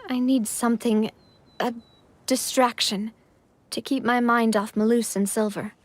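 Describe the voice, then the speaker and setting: A woman's voice: on the high side, fairly even intonation, a little slow, dry recording. A woman playing a determined, polite young noblewoman, a brave leader in a video game.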